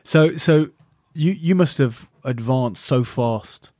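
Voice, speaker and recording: A man's voice: medium pitch, slow, mellow, no room sound, studio quality. A man hosting a casual interview, asking questions and responding as an eager, impressed listener. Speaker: podcast host, interviewer.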